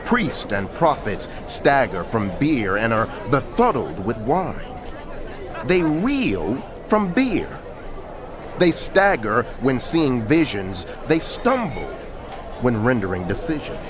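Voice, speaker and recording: A man's voice: mid-range voice, big pitch swings, slow pace, crowd noise. A man narrating scripture dramatically, in a declamatory and emphatic manner.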